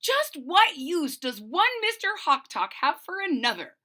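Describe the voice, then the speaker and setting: A woman storyteller reading a children's picture book aloud expressively, pausing to recap it in simple words. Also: high-pitched, big pitch swings, bright, forceful tone.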